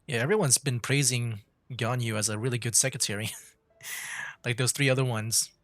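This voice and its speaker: A man's voice: medium pitch, steady tone, moderate pace, a bit bright, dry recording. A man giving casual, chatty commentary as he streams a video game. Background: game music.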